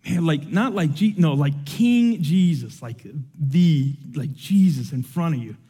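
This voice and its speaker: A man's voice: a little high, lively intonation, a little slow, mellow, husky, big-room sound. A young man born in Cuba, with no accent, preaching a sermon full of personal testimony in an earnest, emotional and conversational way.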